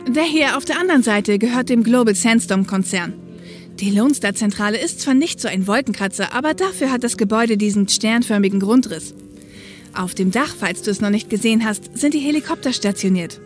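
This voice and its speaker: A woman's voice: fairly high pitch, expressive, a little fast, soft background music, natural voice. A woman playing a young, matter-of-fact helicopter co-pilot and caring older sister in a German audio drama.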